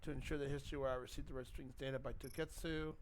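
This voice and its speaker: A man's voice: mid-range voice, flat delivery, racing, slight background noise. A man casually reacting to a game and reading its text aloud, stumbling over words and swearing now and then. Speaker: let's-play streamer.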